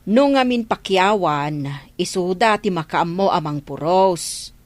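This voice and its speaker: A woman's voice: lowish voice, a little slow, dry recording, close to an everyday voice. An actress with an Ilocano Filipino accent playing a practical village mother of grown children in a radio drama. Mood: matter-of-fact.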